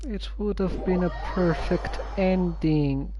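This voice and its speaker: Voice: mid-range voice, muffled recording. A streamer giving casual, musing commentary while playing a story game. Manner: slow, musing.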